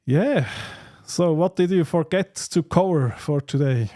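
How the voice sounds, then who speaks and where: A man hosting a casual livestream chat, speaking in halting, hesitant phrases. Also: a little high, mellow. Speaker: livestream host.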